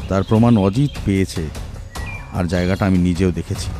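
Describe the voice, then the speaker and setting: A man playing a composed, analytical detective in an audio mystery, explaining deliberately. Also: deep voice, mellow, close to an everyday voice. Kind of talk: audio detective story acting.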